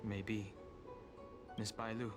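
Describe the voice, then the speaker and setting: A man voicing a solemn, reserved young wandering dragon warrior in a measured, soft-spoken way for a video game. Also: on the low side, level tone, over music. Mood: solemn, reflective. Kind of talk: dramatic game voice acting.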